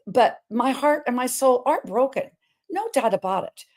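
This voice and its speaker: A woman's voice: sits high, normal speed. A middle-aged woman hosting her own show, talking in a big, emphatic voice and drifting from one topic to the next. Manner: energetic, emphatic, wandering between topics. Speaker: host. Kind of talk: personal talk-show monologue.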